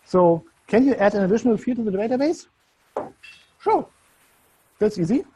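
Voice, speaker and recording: A man's voice: high-pitched, animated, normal speed, dark tone, breathy edge. A man from Germany, speaking English with a German accent, gives a loose, searching conference presentation full of ums and restarts. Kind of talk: tech meetup presentation.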